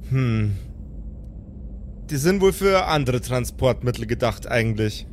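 A man's voice: mid-range voice, animated, unhurried, a little hiss or hum. A man working as game master, narrating an improvised story.

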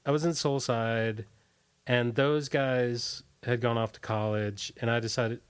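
A man's voice: mid-range voice, fairly even intonation, unhurried, smooth. Hesitant, rambling man chatting casually. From Washington, D.C. area, United States. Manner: hesitant, rambling, frequent "like" and trailing off. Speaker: musician, interview guest. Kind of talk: casual chat, reminiscing.

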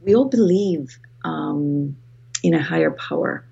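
A woman with a Greek accent talks in a low, husky and mellow voice. She is a guest author in an interview, reflective and repeating words as she finds her thought. The sound is a little dull. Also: lively intonation, unhurried. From Greece. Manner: reflective, restarts phrases often. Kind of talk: podcast interview.

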